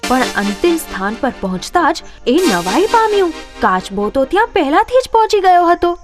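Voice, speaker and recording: A woman narrating scripted children's moral stories in an expressive storytelling manner. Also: very high voice, normal speed, music in the background.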